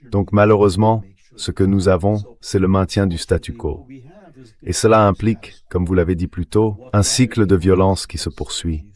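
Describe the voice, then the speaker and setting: A man's voice: deep voice, darkish tone. A man's synthetic voice reading a translated voice-over as a guest analyst discussing politics.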